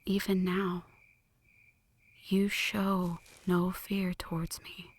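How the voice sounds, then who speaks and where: A woman playing an ancient, lovestruck vampire in a slow, breathy whispered ASMR roleplay, intimate and solemn, close to the microphone. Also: on the low side, little pitch movement. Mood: wistful, intense. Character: ancient flirty female vampire, brooding, lovestruck, world-weary. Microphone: close microphone, dry.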